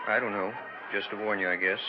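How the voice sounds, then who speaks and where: An American actor playing a timid, folksy carnival helper in old-time radio horror, with a hesitant rustic delivery. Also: male voice, lowish voice, flat delivery, quick pace, crowd noise, lightly acted. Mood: hesitant, grim. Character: slow, folksy, uneasy carnival helper, timid little man. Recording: muffled old radio recording.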